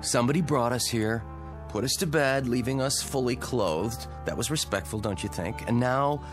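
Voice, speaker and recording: An actor playing Bob, a hungover husband in an old radio drama, grumbling and wisecracking in a dry tone. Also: male voice, mid-range voice, moderate pace, natural voice. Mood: wry. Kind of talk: 1950s radio drama acting. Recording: old radio recording with music.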